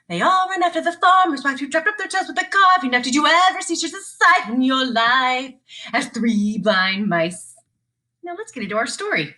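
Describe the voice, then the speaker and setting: A woman teacher giving a sing-song read-along of a children's story, with deliberate, repeated phrasing. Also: high-pitched, bright, forceful tone. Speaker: teacher reading aloud.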